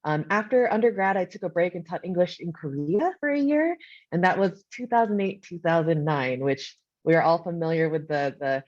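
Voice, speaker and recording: A woman's voice: lowish voice, normal speed, darkish tone, no echo. A woman speaking on an online panel, upbeat and friendly with many fillers. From Pacific Northwest of the US. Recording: muffled dry sound.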